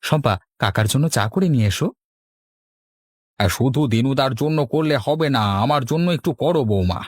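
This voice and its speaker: A man's voice: medium pitch, expressive, normal speed, no room sound, clean studio sound, close to an everyday voice. A man voicing a kindly, sensible older father in a village cartoon. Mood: hearty.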